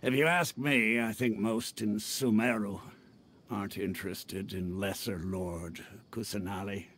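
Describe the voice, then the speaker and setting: Low-voiced old mercenary advisor. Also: male voice, fairly even intonation, unhurried, a bit bright, slight background noise. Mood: matter-of-fact. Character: retired older male mercenary advisor, frank, weathered, good-humored. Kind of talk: video game voice acting. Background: music.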